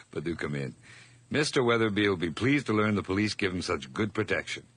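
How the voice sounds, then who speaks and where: A man's voice: low-pitched, animated, moderate pace, a bit bright, slightly rough, no echo, exaggerated voice. An actor playing a nervous, over-polite hired man hiding guilt, stammering and effusive in an old radio horror drama. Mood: evasive, polite.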